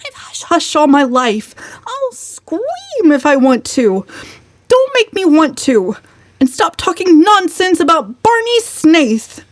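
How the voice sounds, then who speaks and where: A woman's voice: very high voice, very animated, slow pace, no echo, pitched above the performer's own voice, full character voice. A woman voicing a defiant, outspoken young spinster in a dramatic storybook reading, speaking with emphatic indignation. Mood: perverse, defiant.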